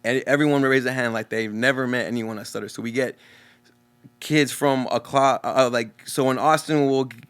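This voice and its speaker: A man's voice: medium pitch, steady tone, moderate pace, fairly bright tone, smooth. A young man from Texas with a Texan accent who stutters openly, talking casually and earnestly as a guest DJ in an interview. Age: young adult.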